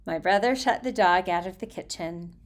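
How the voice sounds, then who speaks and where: A woman storyteller reading aloud in an expressive, theatrical way, with deliberate phrasing and hushed emphasis. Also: fairly low pitch.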